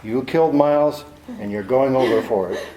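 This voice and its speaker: A man's voice: medium pitch, normal speed, reverberant, noisy background, close to an everyday voice. An actor playing a hard-boiled, cynical male private detective in a 1940s-style radio drama, with a clipped, sardonic delivery. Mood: hard, blunt.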